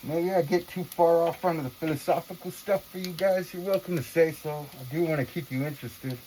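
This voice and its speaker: A woman's voice: sits low, fairly quick, mellow, a little hiss or hum, rough recording. A woman talking casually to viewers in an earnest, reflective monologue, with slangy, profane phrasing. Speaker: vlogger.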